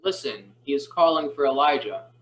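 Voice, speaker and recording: A man's voice: mid-range voice, animated, moderate pace, fairly bright tone, close to an everyday voice. Male reader as soldier and onlooker in a gospel drama; roomy, noisy recording. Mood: curious. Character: mocking male soldiers and bystanders at a crucifixion. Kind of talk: dramatised scripture reading. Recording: echoey room with clear noise, clicks.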